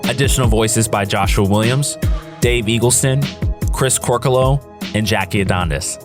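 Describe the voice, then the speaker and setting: Male announcer: fairly low voice, scripted credit read, clear music bed. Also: normal speed. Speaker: announcer reading end credits.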